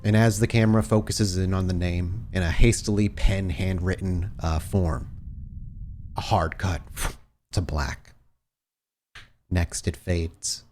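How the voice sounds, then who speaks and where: A man's voice: low voice, steady tone, takes it slowly, a little mellow, a little hiss or hum. A man working as game master, narrating a tabletop game in dramatic, cinematic scene-setting descriptions.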